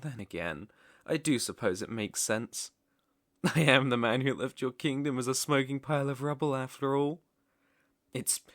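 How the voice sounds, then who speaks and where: A man's voice: medium pitch, fairly bright tone, dry recording. Creepy obsessed villain king, asmr roleplay, drawn out sinister lines, really dry sound. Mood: mocking. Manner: sinister, theatrical, slow drawn-out phrasing with whispers. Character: obsessive, menacing young villain king, madly lovesick.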